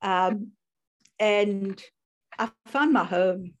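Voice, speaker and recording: A middle-aged woman with a South African accent chats easily as a guest in an interview. She rambles, restarts her sentences and laughs often. Her voice sits at an ordinary middle pitch. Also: extremely slow, low-quality recording. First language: English. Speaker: guest, fear coach. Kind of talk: casual interview chat.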